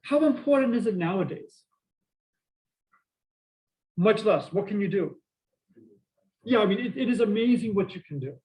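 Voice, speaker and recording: A middle-aged man with a Boston accent giving a university math lecture, lively and full of asides and questions to the class. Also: high-pitched, normal speed, long pauses, poor audio. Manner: energetic, prone to asides and questions to students. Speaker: math professor.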